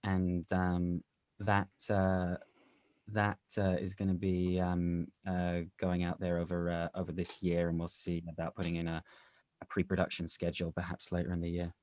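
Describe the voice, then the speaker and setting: A man speaking casually as a guest in a panel conversation, with halting, hesitant phrasing. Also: low voice, completely flat delivery, darkish tone, no room sound. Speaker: interview guest, actor and audio drama director.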